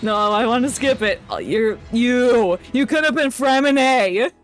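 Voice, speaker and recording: A woman's voice: a little high, big pitch swings, unhurried, soft background music. A woman chatting casually as she streams a game, with a playful, mock-dramatic manner. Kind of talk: casual livestream gameplay chat.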